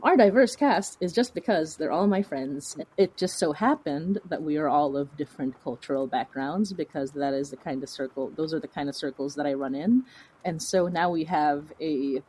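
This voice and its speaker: A woman's voice: low voice, moderate pace, darkish tone, breathy edge. A woman chatting casually as an interview guest, rambling and informal, with a self-deprecating way of talking. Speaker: fiction podcast creator, interview guest.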